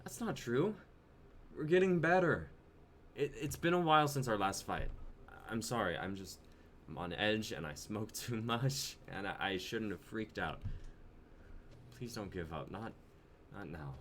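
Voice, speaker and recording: Man acting an insecure boyfriend, widely swinging pitch. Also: animated, measured pace, pauses often, a bit bright. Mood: desperate reassurance. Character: insecure, on-edge young boyfriend, pleading and volatile. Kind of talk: dramatic script reading. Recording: noisy amateur livestream audio.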